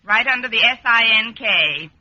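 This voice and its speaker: Sharp-voiced, sardonic schoolteacher. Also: female voice, a little high, lively intonation, normal speed, heavily acted. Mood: wry exasperation. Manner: dry, sarcastic. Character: wry, sardonic female schoolteacher, long-suffering lodger. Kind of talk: 1940s-50s radio comedy acting. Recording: muffled, faintly noisy old radio recording.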